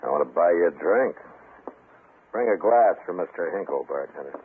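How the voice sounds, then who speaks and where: A man acting a stern, steely, unhurried Old West marshal in an old-time radio western, with terse, commanding delivery. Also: deep voice, animated, breathy edge, full character voice. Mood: firm, goading. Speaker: radio actor. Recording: noisy, tinny old-time radio recording.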